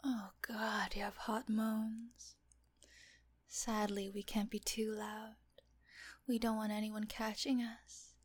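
A woman voicing a teasing, doting female nurse in an intimate audio roleplay, talking softly and often in a whisper. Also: medium pitch, level tone, takes it slowly. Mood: teasing, coaxing.

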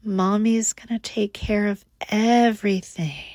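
A woman playing a doting, protective motherly lover, speaking softly in a cooing, often whispered way close to the mic. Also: medium pitch, fairly even intonation, measured pace, no echo, close to an everyday voice. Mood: soothing, doting. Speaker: audio roleplay performer. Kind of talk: intimate whispered audio roleplay.

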